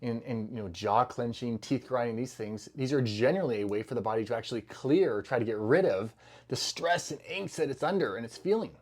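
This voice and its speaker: A man's voice: medium pitch, fairly quick, darkish tone. A middle-aged man giving a loose, conversational health talk to camera, full of fillers and restarts, with the easy manner of a clinician speaking to patients. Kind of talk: casual educational talk to camera.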